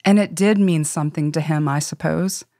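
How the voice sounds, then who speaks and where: A woman's voice: low voice, moderate pace, dark tone, no room sound, clean studio sound. A woman performing a scripted fiction reading for a podcast.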